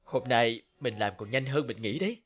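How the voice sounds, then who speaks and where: A man voicing a devoted, hardworking young father in a cartoon, speaking gently and tenderly. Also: mid-range voice, expressive, moderate pace, muffled recording, close to an everyday voice. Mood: tired.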